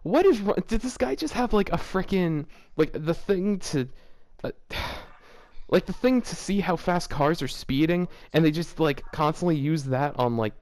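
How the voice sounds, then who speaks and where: A man hosting a casual fan fiction reading at home, reading aloud awkwardly and halting often with "like" fillers. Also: on the high side, expressive, darkish tone, no room sound. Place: home shared with several people.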